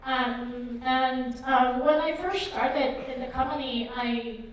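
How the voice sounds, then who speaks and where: A woman in her thirties from Vietnam speaks at a tech conference in a bright, high voice. She talks in a hesitant way, full of ums and likes. Her voice comes from some distance and rings around a very reverberant hall. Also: fairly even intonation, slow pace, slight background noise. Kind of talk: conference talk. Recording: echoey hall, mic far off.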